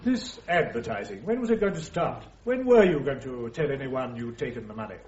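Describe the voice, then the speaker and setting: An actor playing a formal, coolly sceptical British military prosecutor in a radio drama, putting precise, pointed questions. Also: male voice, mid-range voice, expressive, brisk, darkish tone, lightly acted. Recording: roomy, dull old radio recording.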